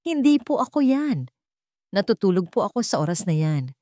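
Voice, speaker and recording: A shy housemaid in a romantic audio drama, played by a woman narrator, pleading her innocence. She is polite but distressed, her pitch lifted, in a dry recording. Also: sing-song, moderate pace, mellow, no echo, natural voice.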